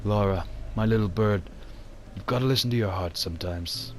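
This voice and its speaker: A man's voice: on the low side, normal speed, darkish tone, slight background noise, close to an everyday voice. A man voicing an easygoing, protective ship's cook with a casual, friendly manner in an amateur motion comic. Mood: gentle, spiritual.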